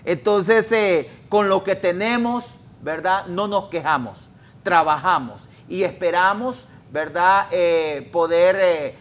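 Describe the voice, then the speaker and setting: A man speaking as a traffic police official in a studio interview, explaining in a measured, hesitant way with many fillers. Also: high-pitched, a bit bright.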